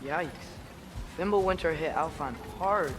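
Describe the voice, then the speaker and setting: Guy playing curious teen boy hero in a game, casual talk, highish voice, music behind. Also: a little slow, natural voice. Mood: earnest, casual. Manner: casual, youthful, informal phrasing. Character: curious, earnest teenage boy adventurer. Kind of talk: video game character acting.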